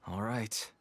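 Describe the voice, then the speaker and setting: A man's voice: fairly low pitch, sharp tone, heavily acted. An actor playing a wary, reluctant father and merchant in an anime video game, with a guarded, grave manner. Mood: worried.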